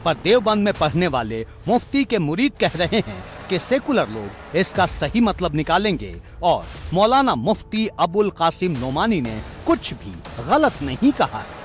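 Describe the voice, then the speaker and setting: A man's voice: high voice, sing-song, unhurried. A man narrating a scripted television news report in a dramatic reporting style. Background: music bed.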